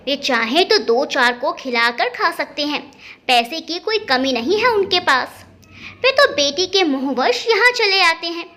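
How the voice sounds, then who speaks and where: A woman's voice: high voice, bright, forceful tone, exaggerated voice. A woman storyteller voicing an older, taunting busybody aunt-in-law who needles with caustic questions.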